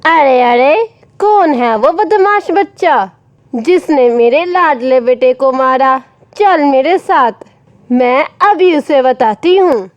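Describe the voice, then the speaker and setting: A woman's voice: high-pitched, animated, slow pace, no echo, highs cut off. A woman voicing a protective, indignant mother bird in a children's cartoon. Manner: indignant, emphatic. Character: protective, scolding mother bird, fierce for her son. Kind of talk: children's cartoon story acting.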